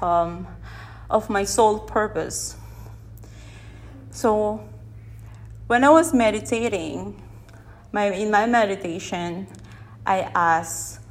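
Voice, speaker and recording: A woman from the Philippines whose first language is Tagalog, talking unscripted into a phone held in one hand on her podcast, gently and with many restarts as she searches for words. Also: mid-range voice. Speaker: podcast host. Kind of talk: unscripted reflective podcast monologue. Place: unedited setting with background sound.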